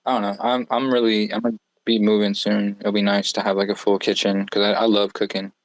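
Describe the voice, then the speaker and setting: Young male podcast guest, low and flat, relaxed rambling delivery. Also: fairly quick, no room sound. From Northwest Florida. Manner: laid-back, rambling, slangy. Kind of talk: casual podcast interview.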